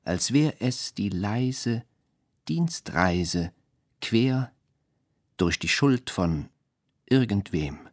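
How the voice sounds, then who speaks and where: Very slow, deep-voiced man reciting verse. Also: animated, no room sound, clean studio sound. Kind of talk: poetic radio drama recitation.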